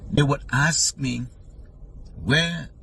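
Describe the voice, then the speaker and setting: A man with a Trinidadian accent telling a humorous travel story in an animated, playfully exaggerating way. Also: extremely slow, gravelly, breathy edge, recorded from a distance. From Trinidad and Tobago. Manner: animated, playfully exaggerating, rising pitch for punchlines.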